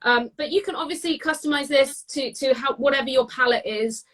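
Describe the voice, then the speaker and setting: A British woman giving a casual live cooking demonstration, chatty and descriptive, often pausing mid-phrase as she explains. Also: sits high, a bit bright. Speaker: guest cook demonstrating a recipe.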